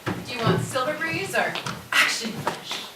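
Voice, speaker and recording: Female actor for practical, bossy zombie-play survivor; animated pitch, echoey amateur stage sound. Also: a little slow. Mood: matter-of-fact. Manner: matter-of-fact, bossy. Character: practical, no-nonsense woman survivor of a zombie outbreak. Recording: echoey, noisy, distant stage recording.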